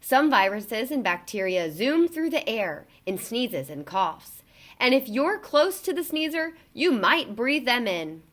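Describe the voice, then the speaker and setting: A woman reading a children's picture book aloud in an expressive, emphatic storytelling delivery. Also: on the high side, a little slow.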